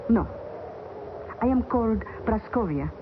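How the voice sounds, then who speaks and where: An actress playing an earnest young woman stranded in the Arctic, speaking in formal, halting phrases in a 1970s radio drama. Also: fairly high pitch, animated. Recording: hissy old radio broadcast, tinny.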